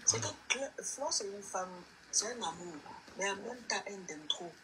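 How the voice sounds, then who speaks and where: A woman's voice: mid-range voice, animated, moderate pace, noisy background. A woman chatting emphatically about gossip with a co-host. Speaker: co-commentator. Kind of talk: casual gossip chat.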